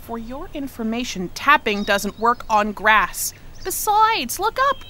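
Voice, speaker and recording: A woman's voice: on the high side, sing-song, a little slow, pushed and bright, full character voice. A woman playing a breezy, argumentative dancer sister who exclaims dramatically in a comic sci-fi audio play. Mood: chiding. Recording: clear background noise.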